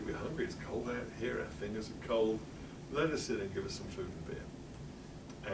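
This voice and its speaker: English male presenter, middle-aged, dry humour, low-ish, heard off-mic in a roomy hall. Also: recorded from a distance, noisy background. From England. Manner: chatty, wry, many fillers and laughs. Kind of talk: illustrated library talk. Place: public library meeting room.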